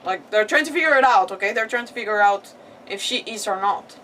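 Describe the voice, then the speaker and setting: A woman's voice: mid-range voice, brisk. Casual woman streamer, slightly off-mic. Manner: animated reactions, rambling, mock-arguing with characters. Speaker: game streamer. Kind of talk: casual live gameplay commentary. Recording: slightly distant mic, faint background noise.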